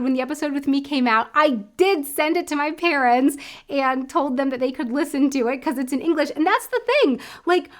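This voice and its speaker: An American woman in her thirties talking to camera in a casual, reflective vlog, thinking aloud with lots of fillers. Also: sits high. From United States. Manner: chatty, thinks aloud with many likes and I don't knows. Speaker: vlogger. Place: indoor room at home.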